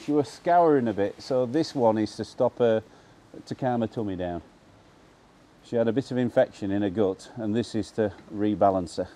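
A man's voice: fairly low pitch, moderate pace, mellow. A man in his fifties with a Yorkshire accent, a farmer presenting an informal vlog in a plain, matter-of-fact way. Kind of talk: informal vlog explaining animal care to camera. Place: large open-sided straw-bedded barn.

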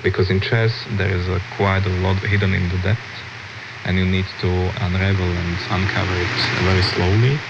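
Low, gravelly man over noisy shortwave radio. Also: steady tone, normal speed. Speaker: interview guest, chess-based management advisor. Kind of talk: thoughtful interview answers. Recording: muffled shortwave radio with loud static. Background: shortwave radio static.

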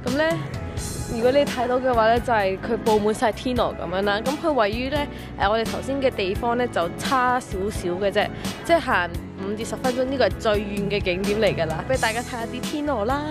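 A woman's voice: fairly high pitch, a little fast, music in the background. A young woman with a Hong Kong Cantonese accent, chatting upbeat to a handheld camera while walking through an old town. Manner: chatty, upbeat, lots of filler particles. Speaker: travel vlogger. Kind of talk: casual travel vlog to camera. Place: outdoors in old town streets and squares. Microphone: camera held at arm's length.

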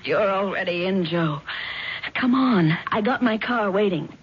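An actress playing a scheming, seductive and greedy ex-wife in a 1970s radio drama. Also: female voice, mid-range voice, expressive, a little slow, natural voice. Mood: sly, urging. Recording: dull, noisy 1970s radio recording.

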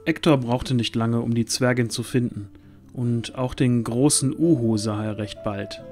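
A man's voice: mid-range voice, fairly even intonation, unhurried, a little mellow. A man narrating a scripted fantasy audio drama as storyteller.

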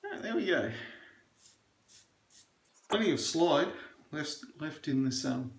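A middle-aged man talks while he shaves, opinionated and rambling. His voice sits a little high for a man. The small bathroom rings with echo around him. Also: lively intonation, slow pace, background noise. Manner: chatty and opinionated, rambling with fillers. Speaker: shaving reviewer. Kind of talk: casual chat while shaving. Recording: echoey bathroom.

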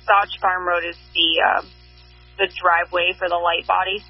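A woman's voice: fairly low pitch, flat delivery, moderate pace. A woman working as a fire dispatcher, reading back units and times formally over a two-way radio. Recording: muffled, husky dispatch radio audio with hum.

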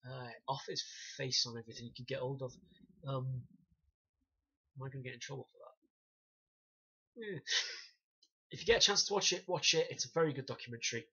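A man in his thirties talks straight into a webcam from a small room, bright-toned with a husky edge. He explains with animated gestures and drops wry, sarcastic asides. The sound is dull and lo-fi, like a desk webcam. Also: mid-range voice, a little fast, long pauses. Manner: animated, gestures and demonstrates punches. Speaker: self-defence instructor reviewing a documentary. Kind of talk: casual to-camera review. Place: small room indoors, banner behind. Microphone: webcam at desk distance.

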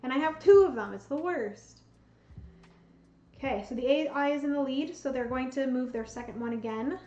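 A young woman, losing her voice, slowly talks herself through a solo board game at home, counting out pieces as she plays. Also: high-pitched, a little hiss or hum. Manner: slow, thinking aloud, counting pieces. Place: home room with bookshelves, indoors. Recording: roomy, slightly dull sound, soft music.

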